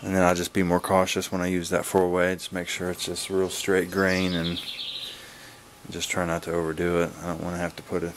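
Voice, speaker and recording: A man giving a casual, laid-back vlog update in a halting, plain-spoken way, recorded on a handheld camera in a garage. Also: low voice, monotone, background noise. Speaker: wood-splitting video blogger. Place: garage, open to outdoors. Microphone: handheld camera's built-in mic.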